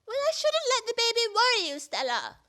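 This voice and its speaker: A woman's voice: very high-pitched, wildly swooping pitch, fairly quick, bright, forceful tone, heavily acted. A woman playing a naive, guileless girl in a farcical radio play, chattering earnestly and dramatically. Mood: innocently matter-of-fact. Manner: earnest, wide-eyed, theatrical. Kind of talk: stage farce performed as radio play.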